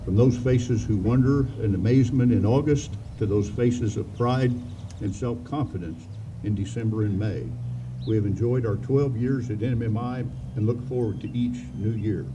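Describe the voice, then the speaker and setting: Formal man addressing a ceremony, measured, dull far-off outdoor audio. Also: fairly low pitch, noisy background. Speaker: school superintendent. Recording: dull, distant outdoor mic.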